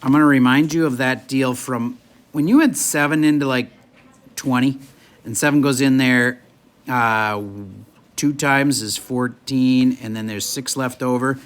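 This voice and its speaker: A man's voice: mid-range voice, steady tone, a little slow. A male math teacher lecturing a classroom in a chatty, joking way while losing his voice. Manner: chatty, jokey, moves around the room.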